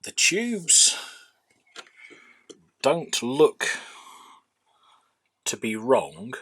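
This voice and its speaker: A man's voice: mid-range voice, very animated, very slow, fairly bright tone, breathy edge. A man giving an unscripted, hands-on workbench narration as a hobbyist, hesitantly thinking aloud. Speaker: hobbyist radio restorer.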